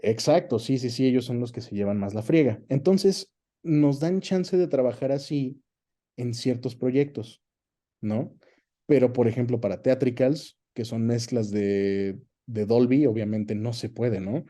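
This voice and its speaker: Very mellow, dark-voiced Mexican man explaining. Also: medium pitch, a little slow. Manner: explanatory, checks in with tag questions. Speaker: interview guest, voice actor and dubbing director. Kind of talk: casual conversational explanation.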